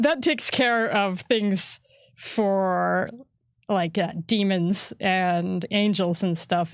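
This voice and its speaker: A woman chatting casually about tabletop game rules, laughing often and acting out quick voices. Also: on the low side, no room sound, studio quality. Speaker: game design staff co-host.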